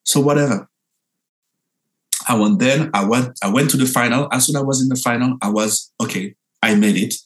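A young man with a French accent, a dancer telling his story in an interview, animated and full of halting restarts. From France. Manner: animated, lively pitch jumps, halting restarts.

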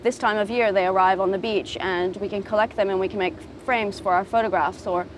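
A woman's voice: medium pitch, steady tone, brisk, a little hiss or hum, rough recording. A woman raised in Japan answers interview questions outdoors at a beach, speaking reflectively and thoughtfully with frequent ums. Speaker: guest, designer and model.

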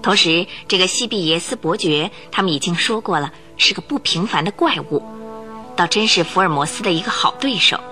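A woman tells a radio detective story in a bright, forceful voice. Her pitch swings widely as she dramatizes the plot, and faint music sits underneath her. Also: animated, fairly quick. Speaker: narrator. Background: music bed.

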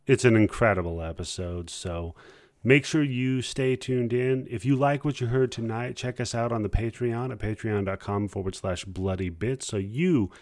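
A man's voice: fairly low pitch, darkish tone, no echo, studio quality. A man hosting a podcast, talking casually as in a sign-off to listeners. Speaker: podcast host.

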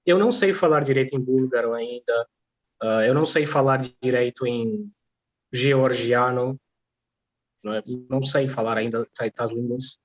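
A man who learned Portuguese as a foreign language, a guest answering interview questions in an earnest, halting way, often repeating words. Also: mid-range voice, dull audio. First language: not Portuguese. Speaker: guest, language teacher and singer.

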